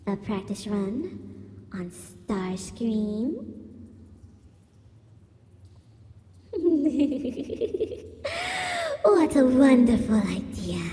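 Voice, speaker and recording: A woman's voice: medium pitch, dark tone. A woman playing a villainous female spider robot in an ASMR roleplay, a seductive, menacing captor who talks in a haughty, taunting purr. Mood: gleeful. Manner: slow, purring, haughty and taunting. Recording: echoey hall-like reverb.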